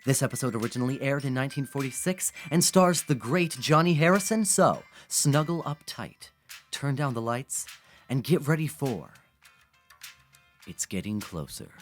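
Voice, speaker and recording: A man's voice: medium pitch, very animated, normal speed, soft background music, close to an everyday voice. An actor playing a chatty radio DJ introducing a vintage horror play with showman patter. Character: friendly small-station radio DJ, playful.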